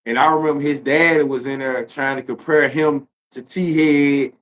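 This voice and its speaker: A man's voice: mid-range voice, steady tone, moderate pace, a bit bright, slight background noise. A man with a Memphis Southern accent hosting a casual interview chat, responsive, with frequent short affirmations. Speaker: live-stream host, interviewer.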